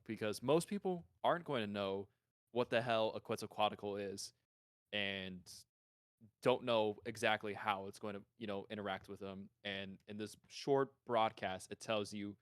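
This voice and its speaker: Male podcast co-host, low clean voice, close dry sound, informal and animated discussion. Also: clean tone, no room sound.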